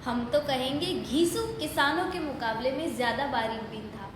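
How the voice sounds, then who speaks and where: A woman's voice: high voice, normal speed. A woman giving scripted literary narration for an audio play. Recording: very echoey hall, faint noise.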